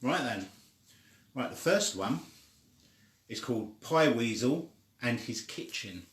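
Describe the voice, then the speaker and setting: An older man reading a children's story aloud informally, with a halting, deliberate delivery. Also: mid-range voice, lively intonation. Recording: echoey room.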